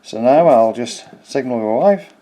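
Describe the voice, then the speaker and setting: A man's voice: medium pitch, animated, a little slow, very noisy. A man hosting a casual DIY electronics tutorial, explaining each step in a plain-spoken, informal way. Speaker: DIY electronics video host.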